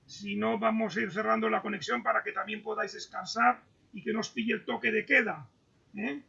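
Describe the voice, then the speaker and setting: High, gravelly man on a lo-fi call. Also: steady tone, sharp tone. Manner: halting, stumbles and repeats words. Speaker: moderator reading audience questions. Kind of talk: online Q&A moderation. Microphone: video call. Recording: dull lo-fi video call audio.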